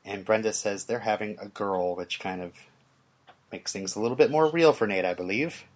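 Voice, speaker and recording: A man's voice: fairly low pitch, normal speed. A man hosting a podcast, casually recapping a TV episode in a hesitant, conversational way. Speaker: podcast host.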